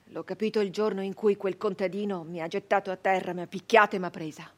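An actress playing a haunted, defiant young peasant woman turned brigand in a radio monologue, with halting, repetitive, intense delivery. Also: medium pitch, fairly even intonation, natural voice. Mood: wounded, subdued.

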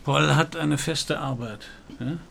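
A man playing a weary, wry private detective in a noir radio drama, speaking tersely and dryly with a gravelly voice. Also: a little high, slow, fairly bright tone, slight background noise, full character voice. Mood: probing, laconic. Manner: terse, laconic, dry. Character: weary, wry male private detective narrating his case.

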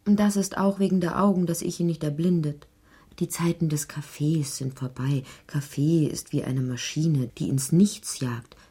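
An actress playing a dreamy literary narrator in an audio drama, musing in repetitive, incantatory poetic fragments. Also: female voice, deep voice, steady tone, normal speed, warm tone, husky, dry recording. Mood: hushed, musing. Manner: incantatory, repeats phrases.

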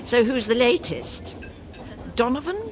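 A prim middle-aged Englishwoman in a radio play, pleased with herself and coolly polite. Her voice is fairly high and very varied in pitch, with clipped, disapproving phrasing. There is faint noise behind her. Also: very animated, measured pace. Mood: coolly civil. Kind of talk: radio drama acting.

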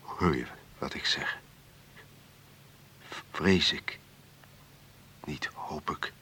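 A man acting a gloomy, ailing, wealthy old uncle in a Dutch radio play, speaking haltingly, wearily and with resignation. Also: rumbling low, pushed and bright, in a whisper, full character voice. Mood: weary, fond.

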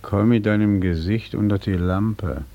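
An actor playing a menacing, despairing accuser in a German radio drama, hollow, husky and drawn-out. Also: male voice, on the low side, muffled recording, lightly acted. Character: menacing, slow, haunting male accuser.

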